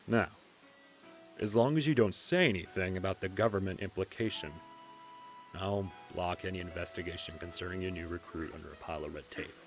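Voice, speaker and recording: An actor voicing a smug, manipulative government official in a visual novel, cool, dismissive and sardonic. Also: male voice, deep voice, brisk. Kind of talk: English visual novel voice acting. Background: music and instruments.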